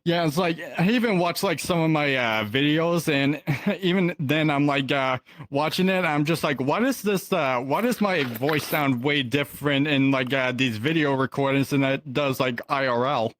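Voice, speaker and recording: A man's voice: a little high, normal speed, fairly bright tone, no echo. Rambling young man with a dry, close voice. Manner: rambling, many fillers and restarts. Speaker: podcast guest. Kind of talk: casual chat.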